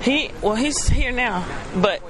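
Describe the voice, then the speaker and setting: A young Texan woman answers questions in an easygoing drawl, keeping her replies short and starting many of them with a hesitant um. The crowd chatter behind her is clearly audible. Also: on the high side, expressive, slow pace, poor audio. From Texas. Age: young adult. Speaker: college basketball player guest. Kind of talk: casual radio interview answers.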